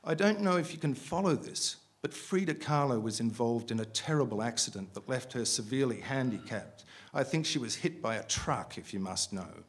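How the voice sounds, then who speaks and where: A man's voice: mid-range voice, lively intonation, moderate pace, hardly any pauses, lightly acted. A man reading the part of a cool, sardonic woman customer with a masculine voice in a story. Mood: cold, severe. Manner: sardonic, gently mocking.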